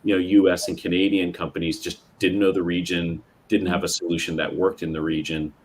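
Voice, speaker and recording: A man from Bermuda speaking on a tech panel in a measured way, with fillers and restarts. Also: deep voice, level tone, darkish tone, slight background noise. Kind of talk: panel discussion.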